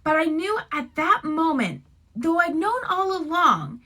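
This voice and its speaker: Female teacher type for children's read-aloud: high voice, lively swooping intonation, expressive storybook delivery. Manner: expressive, deliberate phrasing, addressing young listeners. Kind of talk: animated picture-book read-aloud with friendly asides.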